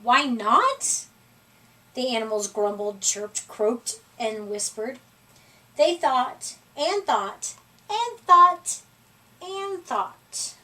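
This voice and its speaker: A woman reading a children's story aloud in an expressive, deliberate way, recorded at a distance from the microphone. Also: fairly high pitch. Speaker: storybook reader.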